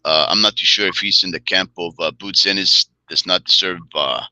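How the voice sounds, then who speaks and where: A man co-hosting a boxing talk show, speaking in a measured, hesitant way with many pauses and formal wording. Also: low-pitched, sharp tone, dry recording, rough recording. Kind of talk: measured casual boxing discussion.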